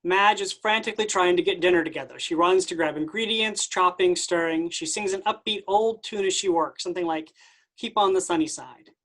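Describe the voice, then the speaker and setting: A woman's voice: lowish voice, brisk. A woman reading scripted stage directions as the narrator of a staged play reading.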